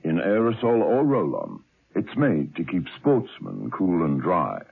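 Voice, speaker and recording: Deep gravelly man selling on radio. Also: huge pitch swings, unhurried. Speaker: advert voice-over. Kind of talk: 1970s radio commercial voice-over. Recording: tinny old radio recording.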